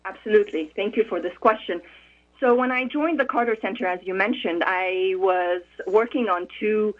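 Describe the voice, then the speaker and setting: Woman guest on a tinny phone line. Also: mid-range voice. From Morocco. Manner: thoughtful, measured, with frequent uh pauses. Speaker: interview guest, conflict resolution expert. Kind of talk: radio interview over the phone. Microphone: telephone.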